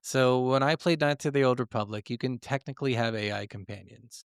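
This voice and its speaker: Blunt, clear-voiced man on a podcast. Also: mid-range voice, fairly quick, dry recording, clean studio sound. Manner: blunt, heavy swearing, complaining. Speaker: podcast co-host. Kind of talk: casual gaming discussion.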